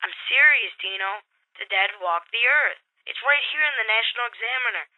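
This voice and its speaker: Through a narrow, tinny phone line comes an excitable young boy played by a woman. The voice is fairly high and given to drama, in the style of an audio play. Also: normal speed. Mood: dramatic, urgent. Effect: telephone filter.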